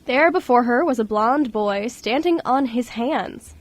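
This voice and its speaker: Female narrator for an amateur radio drama, higher-pitched voice, reading a scripted story. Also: expressive, a little slow. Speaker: radio show co-host and narrator.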